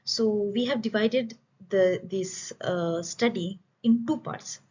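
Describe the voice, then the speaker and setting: Husky woman giving an academic presentation. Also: medium pitch, slow pace, darkish tone. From India. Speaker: student researcher presenting a study. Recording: dull, lo-fi voice recording.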